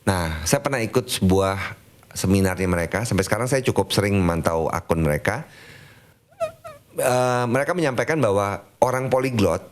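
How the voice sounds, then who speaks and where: A middle-aged man, his voice sitting low, chatting easily as a podcast guest. He explains his points through personal anecdotes, slipping in little laughs as he goes. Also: expressive, normal speed. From Jakarta, Indonesia. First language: Betawi. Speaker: guest language activist. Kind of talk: casual podcast conversation.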